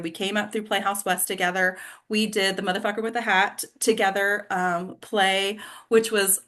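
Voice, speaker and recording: A woman's voice: medium pitch, a bit bright. A woman with a mid-range voice hosts a group of actors online. She talks informally and chattily, like someone running a casual meeting. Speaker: host of an actors' table read. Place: online video call.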